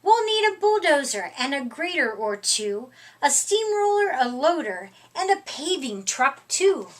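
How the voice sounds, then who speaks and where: A middle-aged woman reading a picture book aloud to young children in an expressive, deliberate, emphatic way. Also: high-pitched. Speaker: storytime reader. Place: small furnished room indoors.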